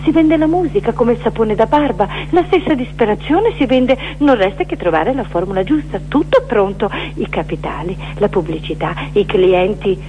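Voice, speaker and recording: A woman giving a dramatic recitation of a poetic manifesto, with emphatic, deliberate phrasing. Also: mid-range voice, lively intonation, narrow, tinny audio, slight background noise. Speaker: performer reciting poetry.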